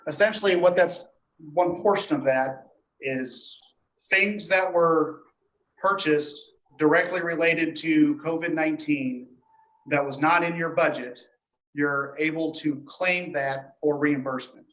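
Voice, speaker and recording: A man gives an informal report to a board at a meeting, explaining things in a halting, matter-of-fact way. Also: a little high, fairly bright tone. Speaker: emergency dispatch official reporting to a board. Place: indoor meeting room. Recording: echoey meeting room, dull muffled audio.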